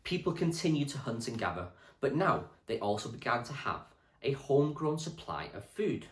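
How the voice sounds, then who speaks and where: A man, a primary school teacher, reading a children's history book aloud with clear, expressive storytelling for children. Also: medium pitch, normal speed. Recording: echoey room.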